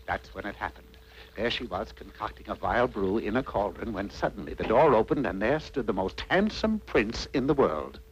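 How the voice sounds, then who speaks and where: A man's voice: fairly low pitch, very animated, normal speed, muffled recording. A man narrating a scripted cartoon fairy tale in a wry, mock-dramatic storytelling manner. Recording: faintly noisy old cartoon soundtrack.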